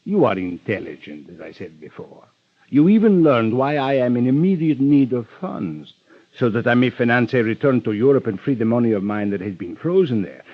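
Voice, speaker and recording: Ruthless, flowery-mannered mastermind, vintage radio crime serial, lively intonation, dull old-broadcast sound. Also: male voice, mid-range voice, normal speed. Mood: oily, persuasive. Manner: courtly, flowery, wheedling politeness. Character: suave, ruthless male criminal mastermind, flattering and menacing. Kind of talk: 1950s radio drama acting.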